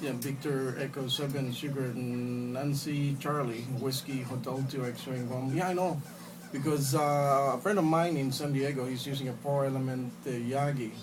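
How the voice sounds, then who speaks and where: A man talking casually as an amateur radio operator, halting and repeating phrases, in a noisy phone recording. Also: mid-range voice, steady tone, distant mic. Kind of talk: casual ham radio contact. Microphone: phone recording in radio room.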